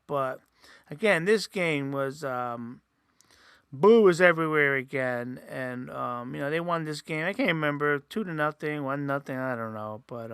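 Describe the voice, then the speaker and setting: A man talks loosely and casually, with frequent fillers and restarts. His voice is bright and gravelly, with a slightly nasal edge. It sits fairly high for a man and is recorded dry and close. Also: no room sound. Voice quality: says he sometimes sounds nasal. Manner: rambling, many fillers and restarts. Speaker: host. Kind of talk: casual solo review podcast.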